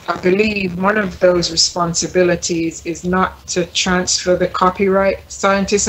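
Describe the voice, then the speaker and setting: A woman's voice: lowish voice, fairly bright tone, low-quality recording. A woman speaking carefully and a little hesitantly as a panellist in a talk show discussion over an internet call.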